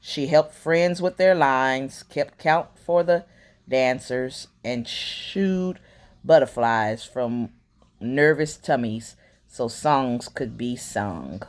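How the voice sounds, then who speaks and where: A woman reading a children's picture book aloud in an expressive way, with quiet murmured asides, as a bedtime storyteller. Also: extremely low pitch, takes it slowly.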